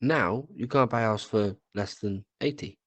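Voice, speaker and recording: A man's voice: on the low side, moderate pace, a bit bright, no echo. A man with a cold, co-hosting a podcast in casual chat, swearing and tripping over his words. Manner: rambling, swears, stumbles and repeats words. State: has a cold. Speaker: podcast co-host.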